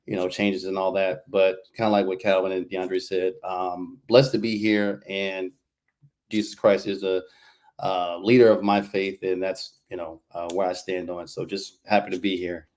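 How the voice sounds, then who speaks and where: A man's voice: sits low, level tone, moderate pace, a little mellow. A man in his late twenties introducing himself as a guest on a video call, in an upbeat, polite way. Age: 20s.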